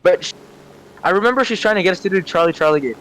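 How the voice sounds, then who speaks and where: A man's voice: sits high, lively intonation, very fast, a bit bright, rough recording. A young man chatting casually and telling stories, rambling, searching for words and swearing freely. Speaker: storyteller on a gaming channel. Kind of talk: casual storytelling chat. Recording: clear vehicle-like background noise.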